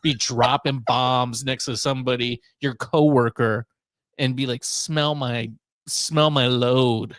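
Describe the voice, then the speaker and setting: A man talks casually as a radio show host. He rambles and jokes in crude terms, sounding incredulous. His voice sits at a medium pitch, captured dry with hardly any room sound. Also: fairly even intonation, takes it slowly, a bit bright, dry recording. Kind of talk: casual comic chat.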